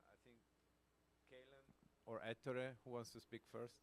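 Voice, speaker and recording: A man at a middle pitch moderates a panel, handing out turns politely and asking for suggestions. His delivery is plain and conversational. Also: level tone, unhurried, a little hiss or hum, rough recording. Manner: polite, inviting, with light laughs. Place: conference hall panel.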